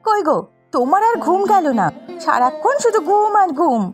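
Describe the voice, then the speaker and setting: A woman voicing a brisk, expressive village housewife in a folk-tale cartoon. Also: very high-pitched, soft background music. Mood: scolding. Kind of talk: Bengali cartoon dialogue dubbing.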